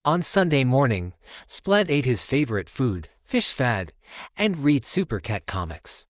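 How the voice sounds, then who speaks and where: A man reads a children's storybook in an expressive, animated way. His middling voice moves freely up and down at an unhurried pace. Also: medium pitch, dry recording. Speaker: storytime narrator.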